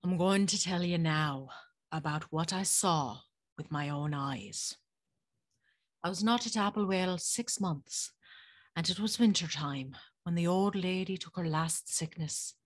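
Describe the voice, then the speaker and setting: Haunted maidservant narrator acted by a woman, husky and very unhurried, grave suspense, gothic audio drama, close dry sound. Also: fairly low pitch, dry recording. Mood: grave, hushed. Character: haunted woman recounting terrors of her days in service. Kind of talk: gothic first-person dramatic storytelling.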